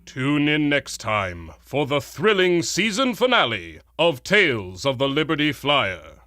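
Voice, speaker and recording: Animated male radio serial announcer. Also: on the high side, a little slow, no echo, studio quality. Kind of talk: old-time radio serial announcing, scripted. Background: theme music.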